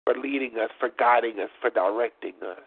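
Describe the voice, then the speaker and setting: A man's voice: mid-range voice, a little fast, vocal fry, husky. A man preaching at a live church service, praying in rhythmic repeated phrases and joking with the congregation, his voice stuffed up. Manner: chatty and joking between songs. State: congested, stopped up. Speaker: prophet and preacher. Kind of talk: fervent live church prayer and exhortation. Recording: tinny phone-like livestream audio.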